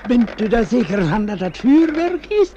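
An actor playing a mournful, courtly old gentleman in a comic radio play, ponderous and theatrical. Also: very high-pitched, big pitch swings, normal speed, a little hiss or hum. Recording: dull old radio recording.

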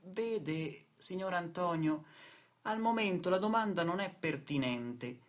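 Guy doing snooty company boss, pitched up, formal and patronising, brushing people off, radio drama. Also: lively intonation, slow pace. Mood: smug, dismissive. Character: condescending, evasive male engineer boss brushing off workers. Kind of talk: radio play acting.

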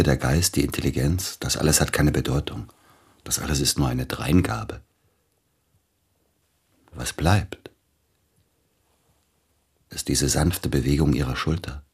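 An actor playing a grieving, lovesick pianist in a German radio play, halting and brooding. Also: male voice, rumbling low, slightly rough, heavily acted. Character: grieving celebrated pianist, man, wounded and lovesick.

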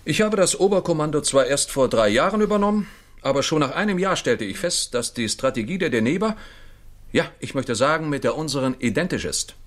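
An actor playing an impatient military general in a 1960s German radio drama, insistent and argumentative. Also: male voice, fairly high pitch, expressive, brisk, natural voice.

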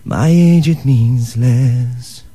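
A man playing a street singer, slowly singing a folk ballad in a theatrical monologue. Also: medium pitch, mellow, breathy edge. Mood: wistful. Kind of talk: slow sung folk ballad.

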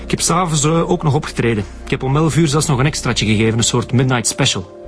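An actor playing a cynical, bitter comedian son with a Flemish accent and a mocking, defiant manner in a radio drama. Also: male voice, mid-range voice, quick pace, faint music behind, close to an everyday voice. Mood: flippant, defiant.

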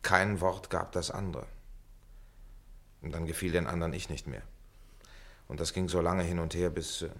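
A man's voice: sits low, steady tone, moderate pace, pauses often, fairly bright tone. A man acting a bitter, self-weary middle-aged former pupil in a radio play, with a dry, sardonic, brooding delivery. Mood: wry, weary.

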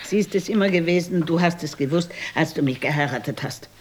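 A woman's voice: low voice, a little fast, background noise, lightly acted. An actress playing a nagging old wife in her seventies, weary and sardonic, in a German radio play. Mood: weary, protective. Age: older.

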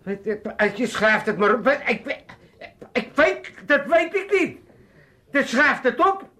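High-pitched blustering older father. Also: big pitch swings, unhurried, pushed and bright, full character voice. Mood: flustered, irritated. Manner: blustering, muddled, repeats himself. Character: blustering older Amsterdam father and amateur party chairman. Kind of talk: comic radio drama acting.

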